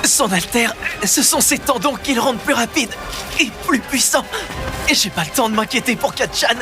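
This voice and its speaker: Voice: medium pitch, expressive, normal speed, over music, natural voice. A performer playing an earnest, anxious but determined teenage boy hero in a French anime dub. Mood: tense, focused. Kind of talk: French-dubbed anime acting.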